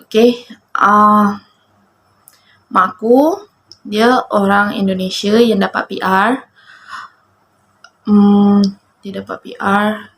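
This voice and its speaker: A woman's voice: medium pitch, steady tone, slow, pauses often, a bit bright, poor audio. A woman in her twenties with a Malaysian Malay accent, chatting casually to her viewers while rambling and getting distracted by her cat. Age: 20s. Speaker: vlogger. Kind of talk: casual chat to camera.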